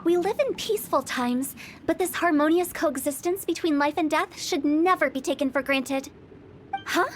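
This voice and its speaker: A woman's voice: high-pitched, normal speed, slight background noise, natural voice. An actress voicing a playful young woman who runs a funeral parlor, teasing one moment and solemn the next. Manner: teasing, then solemn when explaining. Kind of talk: game cutscene voice acting.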